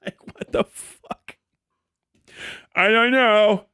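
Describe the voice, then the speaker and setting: High-voiced man, sweary and incredulous. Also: steady tone, drawn-out words, pushed and bright, clean tone, dry recording, clean studio sound. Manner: breaks often into laughter while talking. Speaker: live streamer. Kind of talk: casual rant and commentary to a stream audience.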